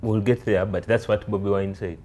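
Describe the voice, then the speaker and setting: A man's voice: fairly low pitch, steady tone, brisk, warm tone. A man, interviewing a guest in a casual way.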